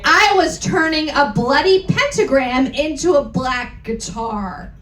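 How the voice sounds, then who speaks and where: A woman performing a comedic dramatic reading on stage, with exaggerated emphasis, deliberate mispronunciations and joking asides. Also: high-pitched, fairly bright tone. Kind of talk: comedic dramatic reading of bad fan fiction. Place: indoor event stage on a cruise. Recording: roomy live venue, faint background noise.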